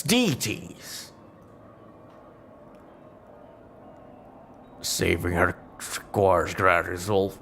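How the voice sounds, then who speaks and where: A man's voice: deep voice, vocal fry, a bit bright, exaggerated voice. A man role-playing an aging, money-minded adventurer who mutters and rambles in mild exasperation. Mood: doubtful, grumbling. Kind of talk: improvised role-play.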